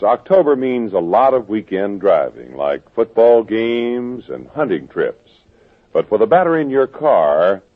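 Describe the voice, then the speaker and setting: A man's voice: on the low side, husky. A man with an American accent reading a commercial as a 1940s radio announcer. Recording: tinny old radio broadcast recording.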